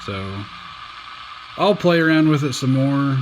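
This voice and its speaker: A man giving a casual hands-on review as a radio hobbyist, plainspoken and folksy with halting phrasing, recorded indoors. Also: medium pitch, lively intonation, noisy background.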